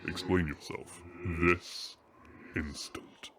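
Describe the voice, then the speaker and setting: A man playing a massive regal male dragon king, a doting but stern father who speaks in a commanding, deliberate and formal way in a fantasy audio drama. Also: low voice, fairly even intonation, a bit bright, a little hiss or hum. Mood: menacing. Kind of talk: fantasy audio roleplay acting.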